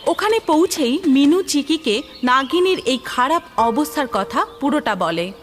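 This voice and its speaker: Animated, high-voiced woman storyteller. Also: measured pace. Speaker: storyteller, narrator. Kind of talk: scripted children's fairy-tale narration. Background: music.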